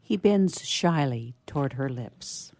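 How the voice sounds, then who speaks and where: A woman's voice: very deep voice, lively intonation, slow pace, creaky, a little mellow, no room sound. A woman reading scripted narration as the narrator of a radio play.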